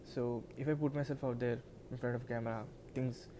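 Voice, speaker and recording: A man's voice: medium pitch, steady tone, a little fast, noisy background. A man in his twenties whose first language is Telugu, talking unscripted to a camera in a hesitant way, with many restarts and repeated words. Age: 20s. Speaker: vlogger.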